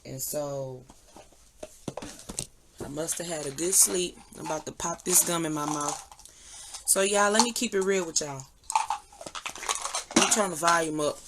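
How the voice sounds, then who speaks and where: A woman's voice: deep voice, a little slow, long pauses. A woman chatting casually and candidly to her viewers, slangy and emphatic, repeating phrases for effect. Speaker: online tarot and energy reader, channel host. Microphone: phone or similar device propped nearby. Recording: noisy recording with clicks.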